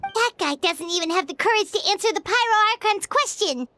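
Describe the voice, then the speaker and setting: A woman's voice: extremely high pitch, lively intonation, brisk, pushed and bright, heavily acted. A woman voicing a tiny, outspoken, loyal companion in a video game, chirpy and blunt. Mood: indignant.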